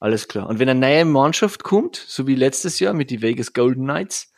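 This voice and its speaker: A man's voice: medium pitch, expressive, moderate pace, creaky, no echo, clean studio sound. A man co-hosting a casual chat, joking and guessing playfully, laughing often.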